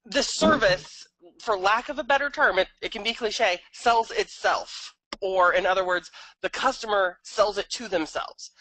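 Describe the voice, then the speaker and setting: A woman hosting a training session, explaining points in a deliberate, emphatic instructional way. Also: mid-range voice, a bit bright, no echo, poor audio. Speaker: training host.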